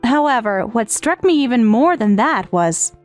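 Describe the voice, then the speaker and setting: A high, youthful voice for a grieving manga step-sister, acted by a woman. She confesses earnestly and hesitantly, with guilt and tenderness. Soft background music runs beneath the dramatic narration. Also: lively intonation, normal speed, natural voice. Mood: surprised. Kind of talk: dramatic first-person narration in manga dub.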